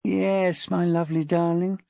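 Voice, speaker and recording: A woman voicing a menacing, obsessive, jealous husband in an audiobook, crooning and hissing with drawn-out words. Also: lowish voice, flat delivery, vocal fry, warm tone, dry recording, studio quality.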